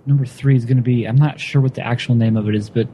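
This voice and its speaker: Relaxed man with a dark, mellow voice. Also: mid-range voice, quick pace, slight background noise. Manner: relaxed, hesitant, sings a folk tune briefly. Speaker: guest sharing Christmas songs. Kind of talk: casual chat.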